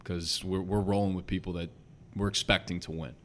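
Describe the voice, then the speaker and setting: A young man with a slight Chicago accent, a basketball head coach talking earnestly and quickly in a casual podcast interview, with lots of fillers. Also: deep voice, flat delivery, a bit bright, slight background noise. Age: young adult. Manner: earnest, talks fast with many fillers.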